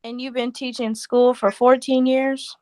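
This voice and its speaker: A woman's voice: sits high, little pitch movement, measured pace, darkish tone, no echo. A woman acting a blunt, practical friend of thirty-three in a 1940s style radio play, incredulous and matter-of-fact.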